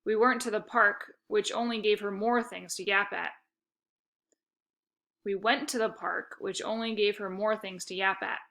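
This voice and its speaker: A woman reading a children's book aloud as an expressive storyteller, acting out the dialogue. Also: mid-range voice, a little slow, gravelly. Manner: animated, acts out dialogue.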